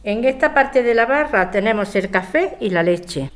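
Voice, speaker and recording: A woman's voice: mid-range voice, expressive, normal speed, close to an everyday voice. A woman playing a practical adult daughter, matter-of-fact and conversational in an audio drama. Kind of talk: scripted amateur radio drama. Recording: chattering crowd behind the voice. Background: crowd chatter.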